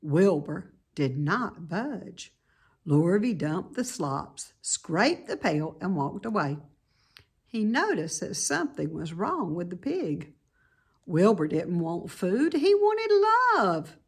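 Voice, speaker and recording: A woman reading a children's story aloud as narrator, with deliberate, dramatic emphasis. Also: lowish voice, big pitch swings, husky. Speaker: storybook reader.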